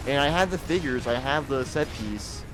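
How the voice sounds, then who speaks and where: A young man talks loosely while playing a game on a live stream, tripping over his first words and circling back to finish his thoughts. His voice sits at an ordinary middle pitch. Faint game music plays underneath. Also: animated, normal speed, poor audio. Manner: rambling, repeats words when starting sentences. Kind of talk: casual live-stream chat.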